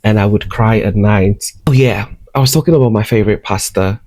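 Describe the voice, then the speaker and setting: A man's voice: on the low side, brisk, a little mellow, no room sound. A young man from Ghana, candidly and reflectively telling his life story to the camera at home. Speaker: vlogger. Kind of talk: personal storytelling vlog. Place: at home, in bed. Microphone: camera close up, talking to camera.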